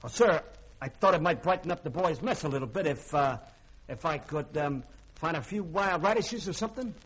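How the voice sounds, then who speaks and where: An actor playing a folksy, shifty, bourbon-loving ship's cook who wheedles hesitantly in a 1950s radio drama. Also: male voice, fairly high pitch, animated, fairly quick, fairly bright tone, breathy edge. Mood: sheepish wheedling. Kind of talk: 1950s radio comic-relief acting.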